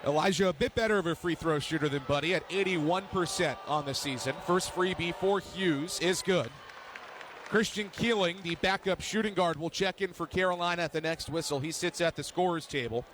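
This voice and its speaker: High-pitched young male play-by-play announcer. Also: a little fast, a crowd in the background. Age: young adult. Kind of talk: live radio basketball commentary. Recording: noisy basketball arena behind voice.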